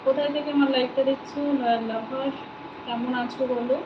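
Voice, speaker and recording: A woman chats casually as a live stream host. She addresses viewers one by one, repeating names and questions and thanking them. The sound is echoey, with clear noise behind her. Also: high voice, fairly even intonation, a little fast, lo-fi sound. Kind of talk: casual live chat with viewers, reading out comments. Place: at home.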